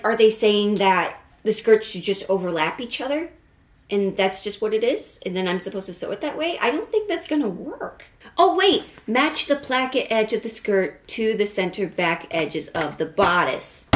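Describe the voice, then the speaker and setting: A woman's voice: a little high, dry recording. A woman chatting casually through a craft project, self-mocking and prone to casual swearing. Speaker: sewing video creator. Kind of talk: casual craft vlog talk.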